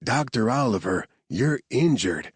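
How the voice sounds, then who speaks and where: A man voicing a calm male medic who speaks in a soothing drawl. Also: medium pitch, measured pace, slightly rough, no room sound, pitched above the performer's own voice, full character voice. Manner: calming drawl.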